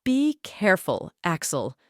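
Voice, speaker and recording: A woman's voice: lowish voice, wildly swooping pitch, measured pace, no echo, studio quality. A woman voicing a caring female car, a detective's loyal partner, in a children's storybook. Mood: worried.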